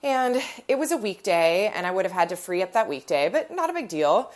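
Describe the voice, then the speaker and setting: A woman's voice: medium pitch, sing-song, fairly quick, a little mellow. A woman hosting a podcast, stuffy and nasal from a cold, chatting in a rambling storytelling way, recorded on her phone in her living room. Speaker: podcast host. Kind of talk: casual chat.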